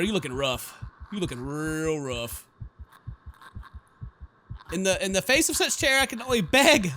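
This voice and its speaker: A man's voice: extremely high pitch, sharp tone. A man doing jokey, exclamatory, slangy commentary on a horror game while reading its dialogue aloud. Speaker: let's player.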